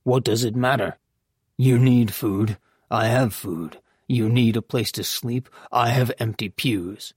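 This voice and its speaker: A man voicing a gruff, irritable, reclusive priest in an audiobook, curt and scornful. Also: mid-range voice, takes it slowly, a little mellow, no echo, studio quality. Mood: weary, scornful. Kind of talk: audiobook character dialogue.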